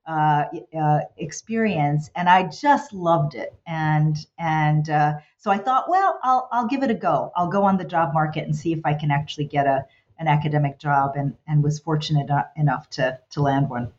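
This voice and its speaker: A middle-aged woman whose first language is Hungarian talks through her past in a thoughtful, halting way, with frequent restarts. Her voice sits very low for a woman. The recording is dull and lo-fi. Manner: thoughtful, many restarts and fillers. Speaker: guest, college president. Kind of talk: reflective interview answers.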